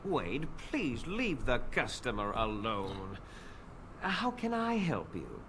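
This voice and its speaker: A man's voice: mid-range voice, wildly swooping pitch, slow pace, background noise, heavily acted. A man voicing a weary, long-suffering shopkeeper in a fantasy video game, dry and exasperated as he placates people. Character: weary, long-suffering male armor shop keeper.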